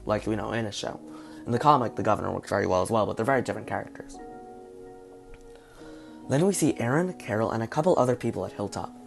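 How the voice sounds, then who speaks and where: Hoarse male reviewer, quick delivery, thinking aloud through his guesses, faint music behind. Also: fairly low pitch, expressive. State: hoarse, partly lost voice. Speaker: video reviewer. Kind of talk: casual video commentary.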